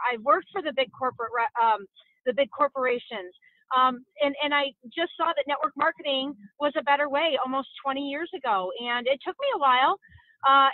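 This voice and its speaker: High-voiced woman on a tinny phone line. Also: no room sound. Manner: earnest, encouraging, many fillers. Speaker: network marketing leader. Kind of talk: motivational call talk. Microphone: phone on a conference call.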